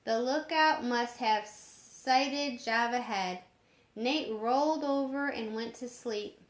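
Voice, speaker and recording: A woman reading a story aloud in a measured way, sometimes stumbling over harder words, in an echoing room. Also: fairly high pitch. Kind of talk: storybook narration. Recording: roomy echoing home recording.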